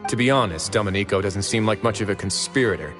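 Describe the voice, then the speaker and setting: A wry duke who runs a fortress prison in a video game, voiced by a man. He speaks in a low, relaxed voice with a dry, sardonic edge. Game music plays behind him. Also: fast talker, lightly acted.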